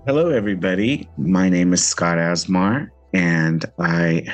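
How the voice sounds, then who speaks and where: Male podcast host, 50s, American. Very low pitch, unhurried delivery, earnest and reflective. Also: faint music behind. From Central Valley, United States. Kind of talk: reflective solo podcast talk.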